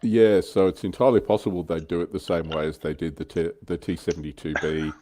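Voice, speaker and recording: A man's voice: sits low, steady tone, fairly quick, dark tone. A man co-hosting a casual livestream chat, thinking aloud and explaining his speculations. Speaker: livestream co-host on tabletop wargaming show.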